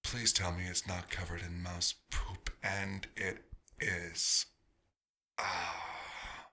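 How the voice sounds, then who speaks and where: A man voicing a centuries-old vampire doctor, wistful and dryly wry, in a soft-spoken ASMR roleplay with a husky, breathy voice and halting, musing pauses. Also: low-pitched, flat delivery, full character voice. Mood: dryly disappointed.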